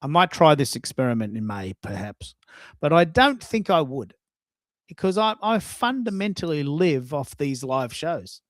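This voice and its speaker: A man's voice: on the high side, sing-song, moderate pace, dry recording, clean studio sound. A man hosting a casual live audio discussion, talking in an effusive, storytelling way with emphatic asides. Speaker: host of a live social audio show.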